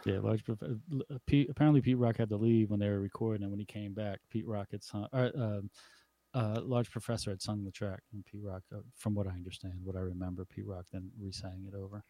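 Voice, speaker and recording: A man with a lowish, mellow voice talks through a relaxed conversation. He muses thoughtfully and keeps murmuring agreement as others speak. The sound is dry and close. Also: fast, dry recording. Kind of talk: casual chat about hip hop.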